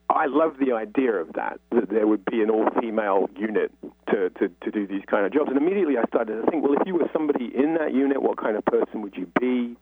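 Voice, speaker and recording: A man with a London accent talks as a guest author in a radio interview, reflective and explanatory. His voice sits a little low and has a husky grain. It comes down a narrow, phone-like line with very little room sound. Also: dry recording. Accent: London English. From North London, England. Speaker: guest novelist. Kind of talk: conversational radio interview answers.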